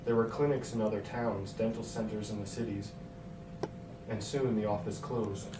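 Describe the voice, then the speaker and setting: A man narrates a story in a slightly low voice, reading from a script. The recording is roomy and clearly noisy, with the mic some way off. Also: fairly even intonation, normal speed. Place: hotel room. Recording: echoey hotel room, distant mic, noisy.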